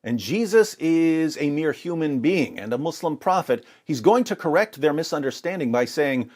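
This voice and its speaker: A man's voice: fairly high pitch, big pitch swings, moderate pace, darkish tone. A man presenting scripted religious commentary and scripture readings in a dramatic, rhetorical manner. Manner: dramatic, rhetorical, sarcastic mock alarm. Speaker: apologetics presenter.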